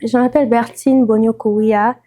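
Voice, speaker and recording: A woman from Congo giving a calm, reflective personal testimony. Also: on the high side, unhurried.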